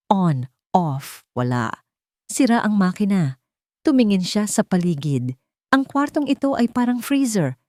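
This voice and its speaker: A woman's voice: on the low side, dark tone, no echo, clean studio sound. A woman narrating a dramatic scripted love story.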